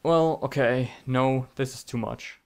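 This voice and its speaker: A man's voice: mid-range voice, a little slow. A man telling comedic scripted stories in a theatrical, sarcastic, mock-dramatic manner. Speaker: storytelling video creator.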